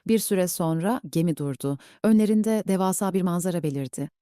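A woman narrating a bedtime story in a slow, soothing reading manner. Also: lowish voice, warm tone, dry recording, studio quality.

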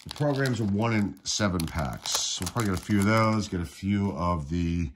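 A man's voice: deep voice, normal speed, noisy background. A congested middle-aged man getting over a cold, casually running through trading card packs on his channel at a table indoors. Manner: relaxed, rattles off player names. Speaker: card-collecting channel host. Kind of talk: casual pack-opening commentary.

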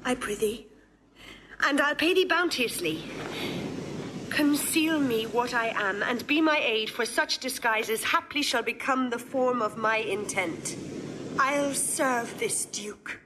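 Noisy recording, earnest young noble lady doing shakespeare verse, slow and lightly high. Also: lively intonation. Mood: earnest, resolute. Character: earnest, resolute young noblewoman planning a disguise. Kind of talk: classical Shakespearean audio drama acting.

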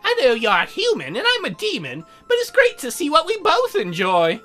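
Visual novel demon girl, played by a man: high, coy, prim, teasing, measured delivery, music behind. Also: wildly swooping pitch, sharp tone, exaggerated voice. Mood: pleased. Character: teasing, prim young female demon dealmaker.

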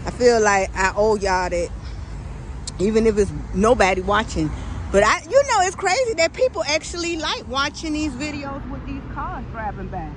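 A woman's voice: on the high side, lively intonation, moderate pace. A woman, chatting to her viewers while she films on a walk and scolds the drivers going by. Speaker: vlogger filming a walk. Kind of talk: casual walk-and-talk commentary. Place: outdoors by a busy suburban road. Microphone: phone camera held while walking. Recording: noisy street recording with traffic. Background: passing car traffic.

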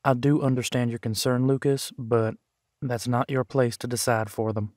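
A man plays a steady, caring werewolf leader in an audio roleplay. His voice is medium in pitch, with a casual, folksy reassurance. The sound is dry, with no room to it. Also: normal speed, dry recording, natural voice. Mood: steady, diplomatic. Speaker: voice actor.

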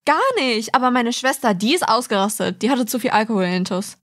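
A woman's voice: a little high, a little fast, no echo, clean studio sound. A young adult woman chatting casually as a podcast co-host. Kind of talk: casual chat.

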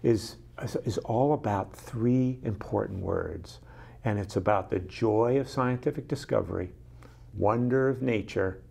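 A man's voice: on the low side, measured pace, vocal fry, warm tone, slightly rough. A middle-aged man, guest on a studio interview show, talking enthusiastically about his science center with many ums and restarts, on a lapel mic. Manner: enthusiastic, gestures a lot, frequent ums and restarts. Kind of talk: casual interview chat.

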